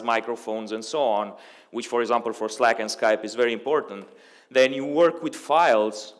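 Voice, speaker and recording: A young man whose first language is Romanian presents to a conference audience at an ordinary middle pitch. He speaks casually, filling pauses with ums and uhs, and his voice trails off into a long hall reverb. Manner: conversational, many ums and uhs. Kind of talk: technical conference talk.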